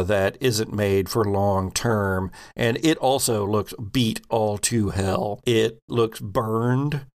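Man, low voice, tabletop game master, improvised descriptive narration, conversational, halting delivery.

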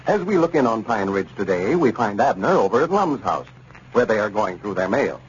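A man's voice: fairly low pitch, sing-song, a little fast, breathy edge. A man announcing a 1930s radio show from a script with a polished, emphatic sales delivery. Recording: dull, noisy 1930s radio recording.

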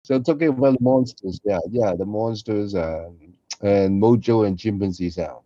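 A man co-hosting a casual chat in a halting manner, often repeating his phrases. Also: lowish voice, warm tone, no room sound. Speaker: podcast host, voice performer. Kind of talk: casual behind-the-scenes chat. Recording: slightly dull, lo-fi sound.

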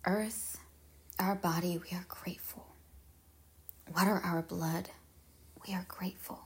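A woman leading a guided meditation in measured, solemn phrases with pauses, her husky voice trailing into breathiness. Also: fairly low pitch, steady tone.

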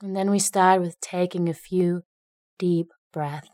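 A woman narrating a guided meditation in a gentle, unhurried way, leaving long pauses between phrases. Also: lowish voice, fairly even intonation, no echo, studio quality.

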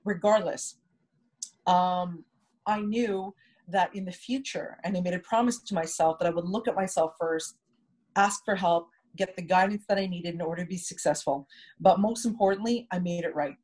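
A woman's voice: lowish voice, moderate pace, darkish tone, slightly rough, rough recording. A woman co-hosting a podcast, candidly and reflectively telling a personal story, often repeating words for emphasis. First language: English. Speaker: podcast co-host.

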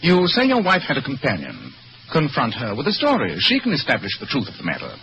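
An actor playing a courteous, probing gentleman detective in an old radio mystery. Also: medium pitch, wildly swooping pitch, quick pace, vocal fry, lo-fi sound, noisy background.

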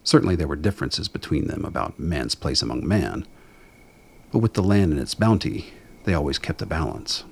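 A man with a gravelly voice reading scripted dramatic narration in a measured, brooding storytelling manner. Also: a bass voice, expressive.

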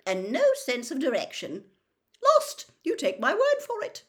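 A woman acts out a boyish, jovial man of the wizarding world in a storybook reading. The voice is pushed up high and loud, booming and swooping widely. He talks in an eager, breezy, colloquial way, like a born gambler. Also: huge pitch swings, unhurried, exaggerated voice. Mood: cheerful, breezy. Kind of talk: audiobook character voice.

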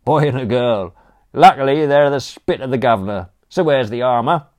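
A man's voice: mid-range voice, normal speed, darkish tone, voice pushed up, close to an everyday voice. A man voicing a brash, cheerful male butler with a cheeky, slangy way of talking. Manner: breezy, cheeky, working-class slang.

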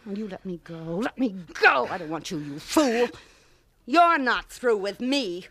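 An actress playing a drunken, scornful young wife in an old radio drama, brash and taunting. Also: female voice, medium pitch, sing-song, measured pace, a crowd in the background. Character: drunken, brazen, scornful young wife who loves parties.

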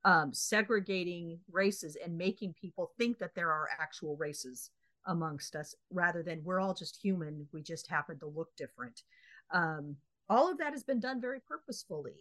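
Low-voiced woman, measured and opinionated. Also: fairly bright tone, no echo. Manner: measured, opinionated, with thoughtful pauses. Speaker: podcast co-host. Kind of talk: casual book discussion.

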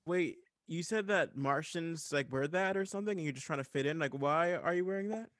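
Man as fed-up astronaut, fairly high voice, questioning, dry recording, improv comedy. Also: brisk, a bit bright, no echo, natural voice. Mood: baffled. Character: baffled, practical astronaut crewmate.

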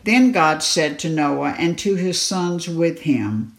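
A woman reading scripture aloud in a measured, solemn manner. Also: deep voice, lively intonation. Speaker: scripture reader. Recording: echoey, reverberant room.

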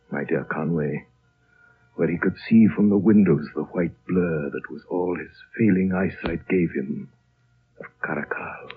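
An ancient, mysterious holy man speaks in a very low, husky voice. He tells his tale slowly and solemnly, with long hushed pauses, in a serene 1930s radio drama manner. The sound is a narrow, muffled old broadcast. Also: exaggerated voice. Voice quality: husky, breathy. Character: ancient, serene, mysterious priest and High Lama.

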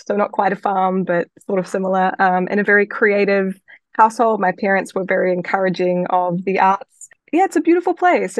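A woman's voice: medium pitch, no echo. A young woman from New Zealand with a New Zealand accent, chatting cheerfully as a guest musician and joking often. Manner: cheerful, laughs easily, joking. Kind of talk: casual interview chat.

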